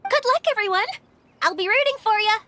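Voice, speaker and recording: A woman's voice: extremely high pitch, a little fast, bright, forceful tone, a little hiss or hum. A woman voicing a small, dramatic, touchy childlike mascot in an anime video game, with a pouty, theatrical delivery. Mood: cheerful.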